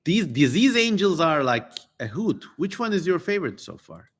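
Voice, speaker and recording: A man's voice: on the high side, big pitch swings, moderate pace. A man doing a dramatic live reading of an old text, theatrical on strange names, with casual, irreverent, sweary asides. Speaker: streamer reading an ancient text aloud.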